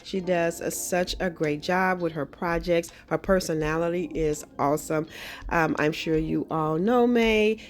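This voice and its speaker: Casting note: a friendly woman with a lowish voice and a slight cold-rasp, relaxed crafting vlog chatter, soft music underneath. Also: unhurried. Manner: friendly, chatty, frequent ums. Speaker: craft channel host. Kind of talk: casual craft tutorial narration.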